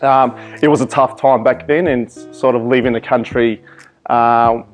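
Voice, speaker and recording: A man's voice: medium pitch, steady tone, unhurried, soft background music. A young man from Iraq whose first language is Arabic, a volunteer firefighter answering interview questions earnestly, with many ums and restarts, in a fire station office.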